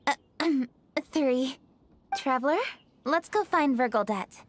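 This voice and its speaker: An actress playing a poised, dutiful young noblewoman official in a video game, polite, crisp and businesslike. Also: high-pitched, expressive, slow pace, background noise. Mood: polite, composed.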